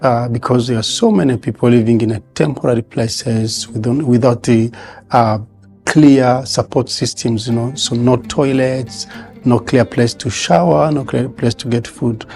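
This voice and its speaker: A man who grew up as a refugee, telling his story in an interview, halting with many fillers and pauses. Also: medium pitch, fairly even intonation, gravelly, darkish tone, faint music behind. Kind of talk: interview testimony.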